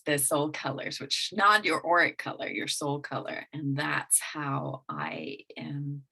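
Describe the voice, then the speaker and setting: Low-voiced woman with a mixed accent. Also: pushed and bright. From England. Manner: rambling with many restarts and fillers. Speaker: guest business coach. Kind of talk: casual interview talk.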